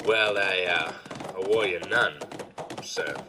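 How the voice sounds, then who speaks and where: A man's voice: deep voice, slow pace, background noise. A man playing a dutiful, anxious soldier making deferential, urgent reports to his captain in an audio drama. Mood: nervous, grave.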